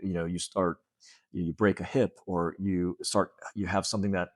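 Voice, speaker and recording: Deep-voiced man in his late fifties. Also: flat delivery, normal speed, no room sound, clean studio sound. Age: late 50s. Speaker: fitness center owner, interview guest. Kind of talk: casual conversational interview.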